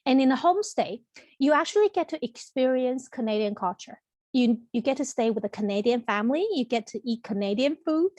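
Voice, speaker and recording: A woman from Taiwan with a fairly high voice walks prospective students through a college presentation in a friendly, explanatory way, pausing on ums and restarting phrases. She speaks into a computer mic on a video call, and the sound is dry and close with no room around it. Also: lively intonation, a little mellow, no echo. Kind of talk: online information presentation.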